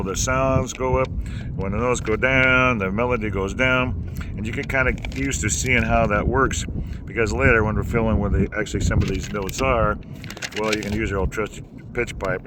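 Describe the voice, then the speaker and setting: A man with a low voice teaching singing in a folksy, rambling way, with many fillers and restarts. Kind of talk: casual instructional talk. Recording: noisy background.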